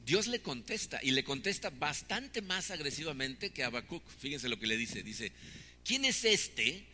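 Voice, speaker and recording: A man's voice: mid-range voice, very animated, moderate pace, bright, forceful tone, long reverb tail, a little hiss or hum. A man, preaching a sermon conversationally, often tagging questions onto his points. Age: 50s. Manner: conversational preaching with frequent "¿no?" tags. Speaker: pastor.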